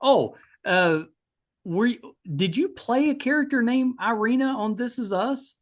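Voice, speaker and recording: A man's voice: very high voice, lively intonation, unhurried. A man hosting a podcast, chatting casually as he interviews a guest. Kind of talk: casual chat.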